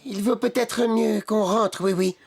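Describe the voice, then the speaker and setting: A man's voice: high voice, fairly even intonation, a little slow, vocal fry, loud music behind the voice. A man voicing a timid, fussy, kindly robot with hesitant speech in a French cartoon dub. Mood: anxious. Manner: hesitant, with repeated verbal tic.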